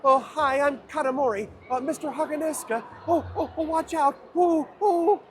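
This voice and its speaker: A man's voice: very high voice, moderate pace, a little hiss or hum, pitched above the performer's own voice. A man voicing a timid, flustered male swordsmith from anime with fluttery, anxious exclamations.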